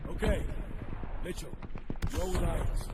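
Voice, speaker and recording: A man's voice: high-pitched, steady tone, unhurried, background noise. An actor playing a commanding male Marine squad leader who gives terse orders in a military action game. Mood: tense, low. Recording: very loud battlefield gunfire behind the voice.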